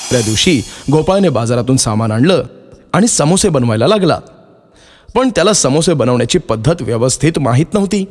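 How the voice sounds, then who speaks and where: A man's voice: mid-range voice, expressive, fairly quick. A man narrating a scripted moral story for children.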